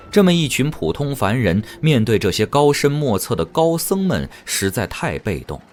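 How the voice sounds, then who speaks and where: A man narrating a fantasy audio drama in a dramatic, scripted storytelling style. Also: lowish voice. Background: music.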